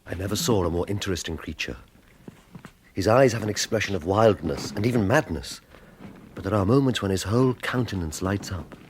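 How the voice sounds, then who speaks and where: An actor playing a courteous, earnest and ambitious polar explorer captain in a dramatised audiobook, speaking formally. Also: male voice, lowish voice, brisk, slight background noise, close to an everyday voice. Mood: reflective.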